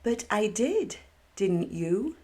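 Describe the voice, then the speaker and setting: A woman voicing a serene, insightful young girl in a children's storybook, with a gentle, questioning manner. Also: mid-range voice, very animated, takes it slowly, voice pushed up. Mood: serene wonder. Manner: gentle, wondering, asks questions. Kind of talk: children's storybook read aloud.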